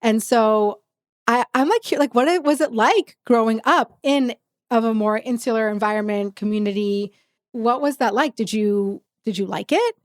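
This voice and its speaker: A woman interviewer with a somewhat high voice who talks in a relaxed, chatty way. She grew up in Texas, learned Yiddish before English, and asks eager follow-up questions full of "like" and "you know.". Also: moderate pace. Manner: curious, eager questions, many fillers. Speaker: podcast host. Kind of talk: casual conversational interview.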